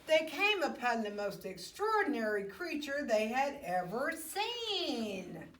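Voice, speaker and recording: A woman's voice: on the high side, very animated, takes it slowly, a bit bright. A woman storyteller reading a children's picture book aloud expressively, with little asides to her young listeners. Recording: roomy, echoey, distant microphone.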